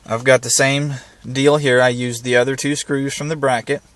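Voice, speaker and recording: A man's voice: mid-range voice, a bit bright, slight background noise. A man giving a casual hands-on how-to demonstration, talking in a plain, folksy, informal way. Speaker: amateur how-to presenter. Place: in and around parked vehicles.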